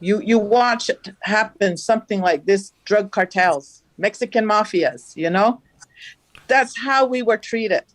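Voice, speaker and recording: Woman from the Navajo Nation, loud and lively storyteller, dry close sound, mid pitch. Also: measured pace, no room sound. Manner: animated, acts out the dialogue she recounts. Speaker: farmer, guest. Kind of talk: recounting events in an interview. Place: studio.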